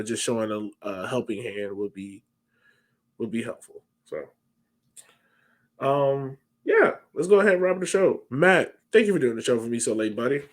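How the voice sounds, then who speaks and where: An American man in his thirties hosts a casual sports podcast from a room at home. He has an easygoing, conversational way of talking and a mid-pitched voice. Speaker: podcast host. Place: home room, indoors, on a video call.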